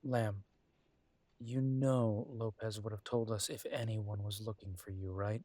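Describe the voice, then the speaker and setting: Man acting Mitch, a low-voiced, caring, weary boyfriend. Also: fairly even intonation, measured pace, no echo. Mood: reassuring. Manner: earnest, gently coaxing, conversational. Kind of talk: intimate roleplay audio drama.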